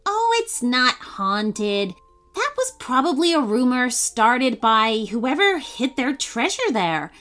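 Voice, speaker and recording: A woman voicing an excited young girl adventurer who talks in a bubbly, breathless way. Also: a little high, takes it slowly, heavily acted. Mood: coaxing.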